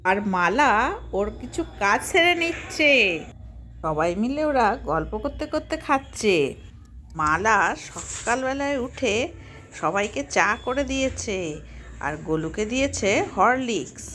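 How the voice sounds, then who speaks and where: A woman's voice: fairly high pitch, very animated, unhurried, a bit bright. A woman narrating and voicing a children's doll-play story in a simple, homely way. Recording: faint background noise.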